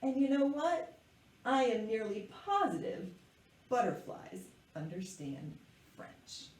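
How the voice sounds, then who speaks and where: A woman's voice: a little high, sing-song, slow pace, darkish tone. A woman voicing a dramatic, precocious little girl who loves fancy French words in a children's picture book. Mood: excited, proud. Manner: theatrical, precocious. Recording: echoey room, distant microphone.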